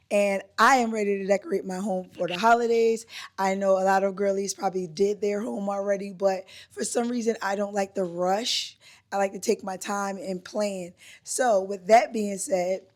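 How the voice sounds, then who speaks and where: Hoarse-voiced woman chatting casually. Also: medium pitch, darkish tone. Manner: chatty, upbeat, says "like" and "um" often. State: hoarse, recovering from a cold. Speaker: lifestyle vlogger. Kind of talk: casual chat to camera. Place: at home, indoors.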